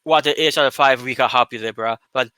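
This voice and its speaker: A man's voice: medium pitch, fast talker, pushed and bright, no echo. A man co-hosting a casual fan discussion show, talking informally. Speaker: co-host of a Doctor Who discussion show.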